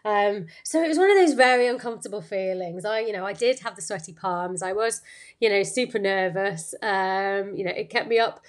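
A woman's voice: medium pitch, moderate pace. A woman hosting a podcast, talking through a motivational story in an unscripted, rambling way full of fillers and restarts. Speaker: podcast host.

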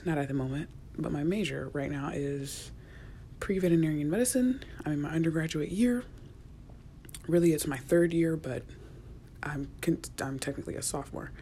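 Low-voiced young woman rambling softly. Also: a little hiss or hum. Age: young adult. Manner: rambling, chatty, often drops to a near whisper. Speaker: podcast host. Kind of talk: casual solo chat. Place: quiet university work-study office.

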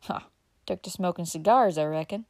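A woman reading an audiobook voices a fat, folksy old country man who talks in rural dialect, loud and excitable. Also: on the low side, normal speed, no echo, clean studio sound, natural voice. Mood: folksy grumbling.

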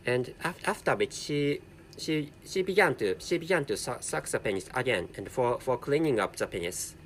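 A man whose first language is Japanese, speaking English with a Japanese accent in a halting solo commentary, repeating words and correcting himself. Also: medium pitch, animated, fairly bright tone, a little hiss or hum. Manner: halting, with heavy repetition and self-correction. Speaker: podcast host.

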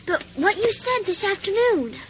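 A woman's voice: very high voice, expressive, normal speed. A woman voicing a shy, earnest schoolboy who stammers and hesitates, in a radio drama. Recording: slightly dull radio drama recording.

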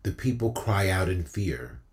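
A man with an exceptionally deep voice shares reflective, inspirational words. He takes his time, speaking slowly and earnestly. His delivery is that of a spiritual storyteller, meant to encourage. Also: sing-song. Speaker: featured spoken-word storyteller on a broadcast. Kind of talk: reflective inspirational talk and spoken-word poetry.

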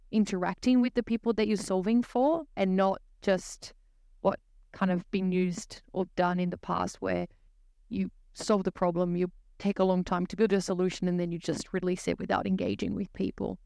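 A woman's voice: lowish voice, creaky, darkish tone, no echo, studio quality. A woman with a Brazilian accent and native Portuguese, explaining things conversationally as a podcast guest.

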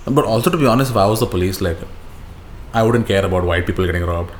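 A man's voice: low-pitched, fast talker. An Indian-accented man chatting casually as a podcast co-host, telling stories in slangy, profane language and repeating himself for emphasis. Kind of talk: casual podcast chat, animated retelling. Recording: roomy echo, faint background noise.

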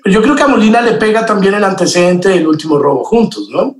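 A man's voice: high voice, animated, fast talker, crowd noise. A man chatting casually as an actor guest in an interview, explaining things. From born in Mexico, grew up with Colombian and Mexican vocabulary. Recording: roomy, echoey sound.

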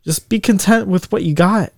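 Podcast co-host, man in his 20s, casual and animated, swears freely, voice leaps up when riled, dry close mic. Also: normal speed, creaky, no echo. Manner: animated, swearing, voice jumps high when worked up. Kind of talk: casual chat.

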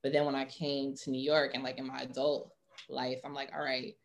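A young adult woman with a notably low voice. She talks in an informal, conversational way, thinking through her answers with plenty of "like" as she goes. She comes across as a guest in a relaxed interview. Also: brisk. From Atlanta, US. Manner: informal, heavy use of "like". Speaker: interview guest, sports marketing professional.

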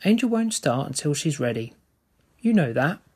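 A man reading aloud, voicing a calm mother who soothingly brushes off her daughter's worries and calls her dear. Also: fairly high pitch, moderate pace, breathy edge. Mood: placid. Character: calm patient middle-aged mother, unbothered.

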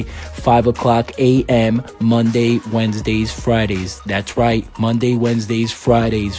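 A man in his thirties, from Puerto Rico, hosting a solo podcast and telling his life story in an earnest, measured way with frequent "uh" and "um" fillers. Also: lowish voice, darkish tone. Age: 30s. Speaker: podcast host.

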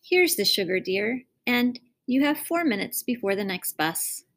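A woman reading aloud, voicing a kind, mild mother who speaks gently. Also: fairly high pitch, animated, unhurried. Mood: gentle. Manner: gentle, apologetic.

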